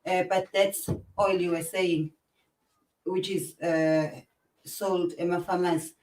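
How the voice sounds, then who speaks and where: A woman with a South African accent hosting an interview, speaking in a halting way with many fillers. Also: on the low side, steady tone, darkish tone. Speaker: host. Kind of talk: casual interview chat. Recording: distant, echoey room sound.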